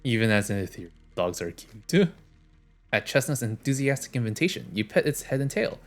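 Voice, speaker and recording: A man streaming a game reads its story text aloud in a casual, plain way, stumbling and restarting now and then. His voice sits at a middle pitch, with faint game music behind it. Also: lively intonation, fairly quick. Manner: casual, plain reading with small restarts and asides. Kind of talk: reading game dialogue aloud.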